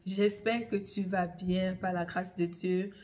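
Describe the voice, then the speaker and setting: A woman's voice: lowish voice, fairly even intonation, slow, a little mellow. Woman vlogger with a slight head cold. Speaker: host of a natural health and hair care channel. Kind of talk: explanatory advice vlog addressed to the viewer. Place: small room at home, indoors.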